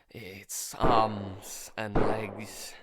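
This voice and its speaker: A man playing a frightened, traumatised survivor in a halting, dramatic audio-drama monologue. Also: fairly low pitch, fairly bright tone, no room sound, studio quality, full character voice. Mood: shaken, breathless dread. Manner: halting, stammering, broken by sighs. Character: frightened, traumatised male survivor keeping a monster-apocalypse audio diary.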